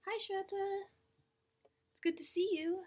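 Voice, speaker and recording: A woman's voice: very high-pitched, fairly even intonation, darkish tone. A young woman hosting a tarot livestream, chatting casually, greeting viewers by name and laughing often. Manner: says she talks fast.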